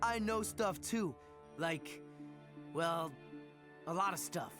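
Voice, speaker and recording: A man's voice: high-pitched, slow pace, music in the background. A man playing a sulky, defensive teenage tough guy in an action game, with an offhand, sardonic manner.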